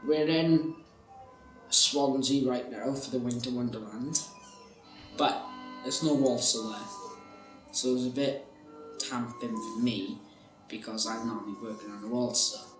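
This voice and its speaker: Slow-talking young South Welsh man, roomy sound. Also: mid-range voice, fairly even intonation. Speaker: fairground ride worker. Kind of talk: casual personal account to camera. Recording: roomy, distant, music underneath.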